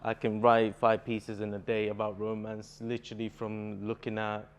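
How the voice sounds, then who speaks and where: A man's voice: fairly low pitch, completely flat delivery, normal speed, clean tone. A young man from Bulgaria, a native Bulgarian speaker, talking earnestly as a poet guest in a casual interview.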